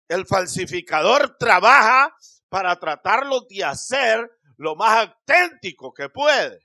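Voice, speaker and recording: A middle-aged male preacher delivers a sermon into a handheld mic in a small church hall. His voice is bright and forceful and moves slowly, stretching each phrase. His pitch swings widely and he breaks into shouts for emphasis. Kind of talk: Spanish-language Pentecostal sermon. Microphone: handheld microphone.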